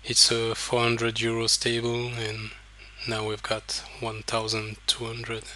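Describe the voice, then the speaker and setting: A French-accented man whose first language is French speaks slowly, taking his time over each point. His voice has a bright, forceful edge. He walks through poker decisions in a halting, thinking-aloud way and often repeats words as he goes. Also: mid-range voice, completely flat delivery. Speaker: poker coach. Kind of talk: explaining hands over screen recording.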